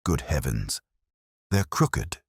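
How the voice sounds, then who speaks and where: A man's voice: very deep voice, sing-song, slow, creaky, husky, dry recording, exaggerated voice. Man putting on a scandalised, pompous village busybody woman. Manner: hissing, accusatory, pompous. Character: disapproving, scandal-hungry village church-bazaar woman.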